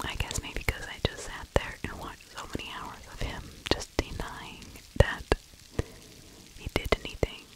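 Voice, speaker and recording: A middle-aged woman whispering ASMR true crime commentary close to the microphone, hushed and halting with pauses. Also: low-quality recording. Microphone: close microphone with fluffy windscreen.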